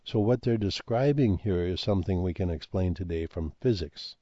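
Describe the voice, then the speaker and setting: An older man talking in a rambling monologue, halting with many fillers and restarts, and losing his voice. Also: sits low, mellow, no echo, highs cut off. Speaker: speaker on ancient history and comets.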